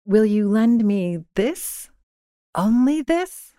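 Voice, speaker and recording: Woman playing a young wife, storybook character, high voice, plaintive, discontented, emotional, dry sound. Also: lively intonation, slow, warm tone, no echo. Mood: anxious pleading. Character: vain, discontented young French wife, anxious and yearning.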